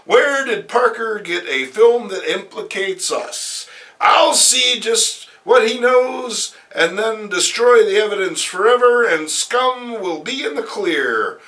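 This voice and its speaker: A man voicing a haughty, vicious female comic-book villain in a shrill, melodramatic, indignant way. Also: expressive, slow, pushed and bright, full character voice. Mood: scheming.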